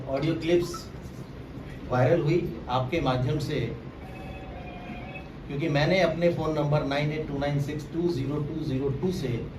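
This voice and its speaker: A man from Rajasthan, a former political aide, making a deliberate, emphatic press conference statement and repeating phrases for stress. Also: fairly high pitch. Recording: distant mic, echoey room, clearly noisy.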